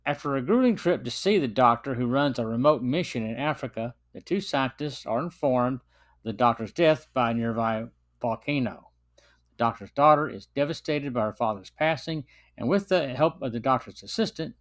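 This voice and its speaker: Middle aged guy doing a podcast review off a script, measured delivery, normal male pitch. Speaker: podcast host and film reviewer.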